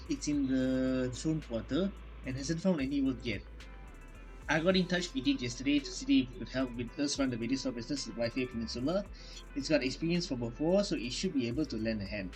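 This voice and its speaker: A man's voice: medium pitch, a little mellow, rough recording. A man doing playthrough commentary as a gaming host, reacting and reading game text aloud. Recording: game music behind the voice.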